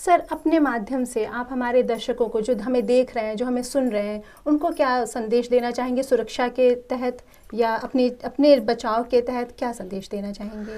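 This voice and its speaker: A woman's voice: a little high, normal speed, warm tone. A woman works as a radio interviewer. She asks formal questions in a polite, deferential way. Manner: polite, deferential, frequent acknowledgements.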